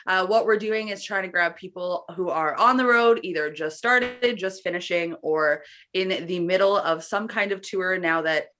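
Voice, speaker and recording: Low-voiced woman in casual podcast chat. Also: moderate pace, fairly bright tone. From Canada. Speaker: podcast co-host.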